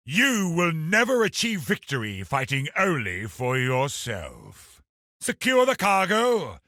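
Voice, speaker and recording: An actor playing a cruel, zealous military crusader, a video game boss who is haughty, commanding and menacing, with a gravelly, raspy voice. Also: male voice, fairly high pitch, sharp tone, no echo, clean studio sound, heavily acted. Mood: fierce, commanding. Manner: haughty, imperious, menacing, declamatory.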